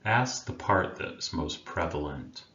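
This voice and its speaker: Very deep, slow man guiding meditation. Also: animated. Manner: gentle, soothing, unhurried with long pauses. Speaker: meditation guide, coach. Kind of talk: guided meditation. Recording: roomy, dull sound with faint background noise.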